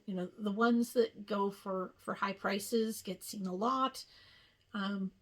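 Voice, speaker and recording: Unhurried woman in casual show-and-tell. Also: mid-range voice. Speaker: cross-stitch video host.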